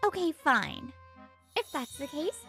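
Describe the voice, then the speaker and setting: A woman's voice: high voice, big pitch swings, takes it slowly, fairly bright tone, soft background music, heavily acted. A woman playing an exasperated young pregnant housewife in a manga dub, complaining expressively and narrating her troubles.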